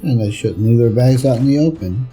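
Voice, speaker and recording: A man's voice: mid-range voice, expressive, brisk, dark tone, low-quality recording. A man giving casual gameplay commentary, rambling and thinking aloud as he plays. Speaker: gameplay streamer and reviewer. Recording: game music behind the voice. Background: video game music.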